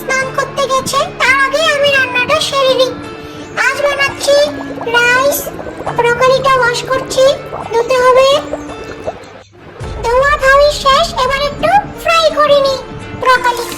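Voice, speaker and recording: Doll soap wife: devoted, chatty, singsong, squeakily high voice. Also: female voice, steady tone, a little slow, long pauses. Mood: cheerful. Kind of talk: amateur doll-drama acting. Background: loud music.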